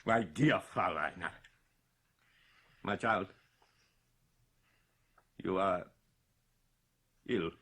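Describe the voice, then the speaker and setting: An actor playing a grieving, vengeful old general, solemn and impassioned, in a gothic horror radio drama. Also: male voice, sits low, extremely slow, pauses often, sharp tone, slightly rough, exaggerated voice.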